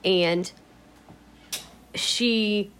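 A woman vlogging in a casual, rambling way, her voice a little raspy from allergies, blunt and free with swear words. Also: mid-range voice, fairly even intonation, very slow, fairly bright tone, slight background noise. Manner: chatty, blunt, swears freely. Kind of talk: casual rambling vlog chat.

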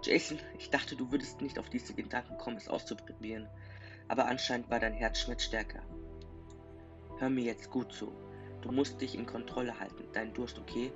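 A man voicing the ghost of a protective older brother, speaking softly and earnestly. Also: mid-range voice, steady tone, normal speed, lightly acted. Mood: gentle. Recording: dull dry recording, faint music.

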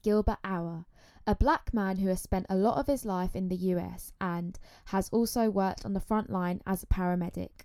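A woman's voice: mid-range voice, moderate pace, dark tone, no room sound, clean studio sound. A woman hosting a podcast, reading a scripted introduction in an earnest way full of rhetorical questions. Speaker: podcast host.

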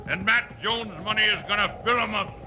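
An actor playing a ruthless, greedy outlaw leader who talks in a bossy, sneering way in a radio western. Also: male voice, sits high, a little fast. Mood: menacing. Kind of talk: 1950s radio acting. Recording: noisy vintage radio recording.

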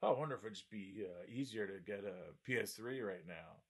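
A man's voice: lowish voice, a little fast, a bit bright, breathy edge. A man in his mid-twenties chatting casually, joking and laughing often while telling stories. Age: 20s. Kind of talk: casual chat.

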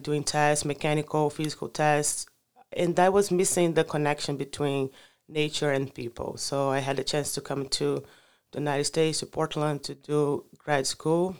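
A man speaks in a smooth, resonant voice. He answers questions slowly and haltingly, filling gaps with "uh" and "like" as he hunts for the right words. His pitch sits a little high and barely moves. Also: little pitch movement. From Brazil. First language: Portuguese. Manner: halting, searching for words with many fillers. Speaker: guest. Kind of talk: casual interview answers.

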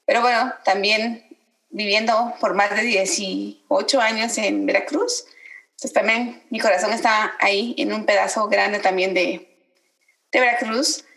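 A woman from Guatemala, introducing herself on a podcast in a reflective way, pausing often. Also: mid-range voice, steady tone, a bit bright, roomy sound, low-quality recording. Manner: reflective, many pauses and fillers. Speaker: podcast guest. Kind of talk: casual self-introduction.

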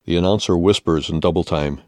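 A man's voice: low voice, fairly quick, dark tone, no echo. An older man with an American accent reading his own humorous first-person essay aloud. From United States.

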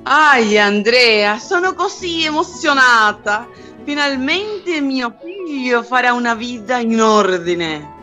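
A woman's voice: fairly high pitch, expressive, slow, sharp tone, faint music behind, exaggerated voice. A woman acting an emotional mother in a radio soap opera. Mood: deeply moved. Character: emotional Italian mother at her son's wedding.

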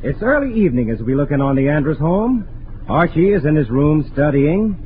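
A man's voice: a little high, big pitch swings, brisk. A man announcing a 1940s radio show in polished, presentational scripted narration. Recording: muffled 1940s radio, faint noise.

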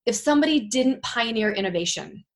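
A woman you'd hear as the guest on a wellness podcast, motivating listeners in a slightly high, enthusiastic voice. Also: expressive, brisk. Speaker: podcast guest, biohacking entrepreneur. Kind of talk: conversational interview.

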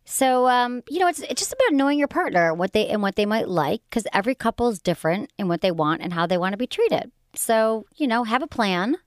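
Brief: a bubbly woman host with a Michigan accent. She talks quickly with a lively pitch, teasing and playful, on a dry mic. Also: no echo. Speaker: podcast host. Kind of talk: casual radio talk.

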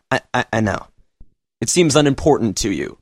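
A man's voice: mid-range voice, sing-song, moderate pace, no echo, clean studio sound. An actor playing a hesitant man who stammers and pleads. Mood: pleading.

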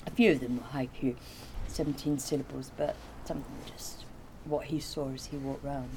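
A woman's voice: very deep voice, fairly even intonation, normal speed, dark tone, slight background noise, low-quality recording. A woman in informal conversation, explaining and recollecting in a rambling way. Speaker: artist being interviewed.

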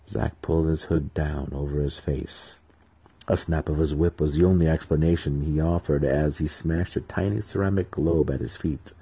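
A man giving a dramatic scripted narration of a fantasy audiobook in a husky voice. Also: very deep voice, warm tone.

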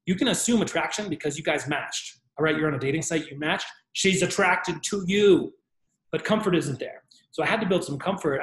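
A man's voice: on the high side, a bit bright, echoey room, low-quality recording. A man coaching on dating, walking through his text messages in a casual teaching tone. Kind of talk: talking-head advice video.

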